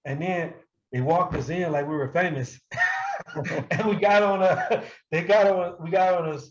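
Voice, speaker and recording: An American man telling stories casually in an interview, profane and quick to laugh. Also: dull audio. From Milwaukee, United States. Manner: animated, profane, breaks often into high-pitched laughter. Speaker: hip-hop artist being interviewed. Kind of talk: casual anecdotal storytelling.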